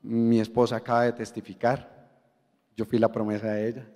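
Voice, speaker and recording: A man gives his testimony to a church congregation in a mid-pitched voice. The big hall leaves a long reverberant tail on his words. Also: flat delivery, fairly quick, mellow. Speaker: church member giving testimony. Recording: echoing large hall.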